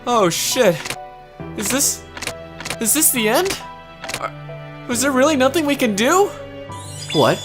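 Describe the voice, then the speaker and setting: A woman doing a comedic parody dub, sarcastic and dramatic, swinging from deadpan mutters to frantic shouts. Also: high voice, slow, pauses often. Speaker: parody voice-over creator. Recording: voice over loud background music.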